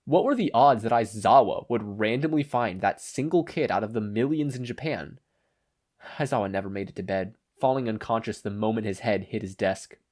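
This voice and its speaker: A man's voice: on the low side, moderate pace, darkish tone. A man reading fan fiction aloud as scripted narration. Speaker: podfic narrator.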